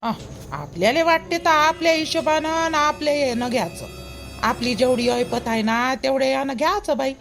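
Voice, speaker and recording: A woman's voice: sits high, moderate pace, creaky, fairly bright tone, faint music behind. A woman playing a matter-of-fact, placating village woman in a cartoon, giving advice with a Varhadi Marathi accent from Vidarbha. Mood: advising. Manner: advising, dismissive of fuss. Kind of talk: amateur cartoon dialogue in village dialect.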